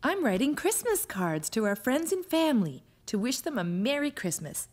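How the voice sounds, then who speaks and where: Patient cartoon mother, fairly high voice, animated intonation, gentle, encouraging, talking to little children. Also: moderate pace. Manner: gentle, encouraging, sing-song toward children.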